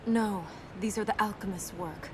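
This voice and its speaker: An actress playing a serious, resolute young princess in a video game, measured and matter-of-fact. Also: female voice, mid-range voice, lightly acted. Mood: solemn. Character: solemn, resolute young princess guiding an adventurer. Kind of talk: video game character acting. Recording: noisy game capture with ambience.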